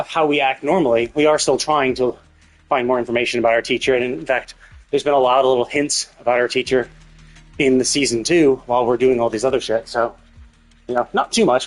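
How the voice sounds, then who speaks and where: A man hosting a livestream, giving casual game commentary in a rambling way, thinking aloud as he goes. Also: medium pitch. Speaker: livestream host. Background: game music.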